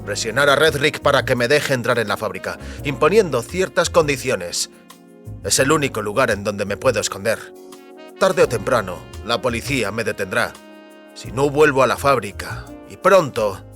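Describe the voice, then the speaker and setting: An actor playing a wary, determined man trapped between powerful forces, speaking deliberately in an audio drama. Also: medium pitch, animated, a bit bright, soft background music, natural voice. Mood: urgent, determined.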